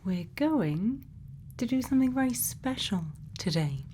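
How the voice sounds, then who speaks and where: A woman playing a gentle, quietly kind scientist in a soft, often whispered ASMR roleplay, soothing and reassuring with many pauses. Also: on the high side, animated, slight background noise. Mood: tender, reassuring. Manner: slow, soothing, pausing, reassuring. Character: gentle female scientist, quietly kind, programming a robot friend.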